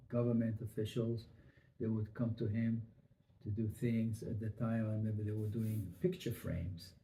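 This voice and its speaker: An older man from Israel telling his family history in an oral history interview, halting and full of fillers and restarts. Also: medium pitch, vocal fry, dark tone. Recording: echoey room, faint noise.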